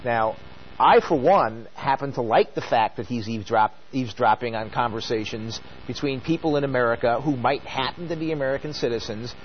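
A man's voice: mid-range voice, a little fast, muffled recording, slight background noise. Hesitant, argumentative male radio co-host. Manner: argumentative, hesitant with many uh fillers. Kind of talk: call-in radio debate.

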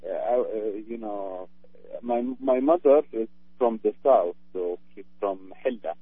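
A man's voice: on the low side, measured pace, no room sound. A man from Iraq, a radio interview guest who speaks hesitantly with many fillers. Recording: dry, phone-like narrow sound.

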